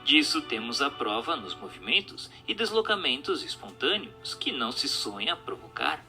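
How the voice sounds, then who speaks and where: A man's voice: mid-range voice, big pitch swings, normal speed. A man reads a scripted question-and-answer text in a formal, didactic manner. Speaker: narrator reading a spiritist book. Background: music.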